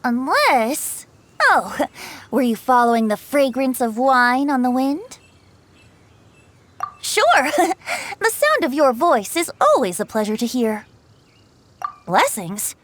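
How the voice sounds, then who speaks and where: A woman's voice: sits high, sing-song, slow pace, pauses often, exaggerated voice. A woman voicing a youthful male wind god bard in a video game, with a playful, breezy, theatrical manner. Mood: playful, welcoming. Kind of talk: video game character dialogue. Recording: studio voice over game music.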